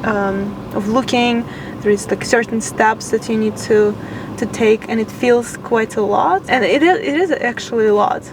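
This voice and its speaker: Woman interviewer, kinda high voice, chatty, sounds far from mic, noisy hum. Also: moderate pace. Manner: chatty, thinks aloud with fillers. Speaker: interviewer, channel host. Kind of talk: casual interview.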